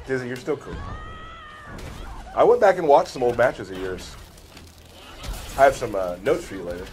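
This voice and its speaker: A man's voice: mid-range voice, lively intonation, normal speed, a little mellow, a little hiss or hum. A man doing casual gameplay commentary with teasing banter. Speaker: game stream host and commentator.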